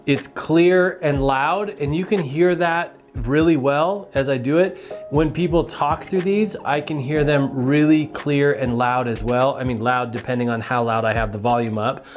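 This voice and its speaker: A man's voice: medium pitch, steady tone, measured pace, soft background music. A man giving a casual, hands-on product review in a plain-spoken, explanatory way.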